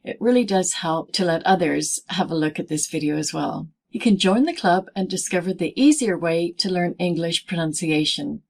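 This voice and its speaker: A woman presenting a scripted pronunciation lesson as a teacher, speaking in deliberate, clearly segmented phrases. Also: lowish voice. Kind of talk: scripted instructional narration in easy English.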